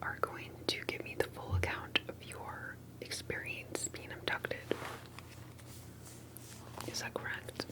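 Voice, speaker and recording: Someone whispering softly in an ASMR roleplay as an earnest, cautious researcher interviewing with deliberate, halting questions. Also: low-quality recording. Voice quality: soft breathy whisper. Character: earnest, cautious alien abduction researcher and survivor. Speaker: ASMR performer playing an interviewer.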